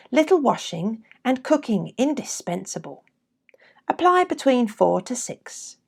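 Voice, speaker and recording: Female reader, unhurried and deliberate, lively rise and fall, a creaky edge, for scripted readings of vintage adverts. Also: medium pitch. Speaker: podcast host and reader.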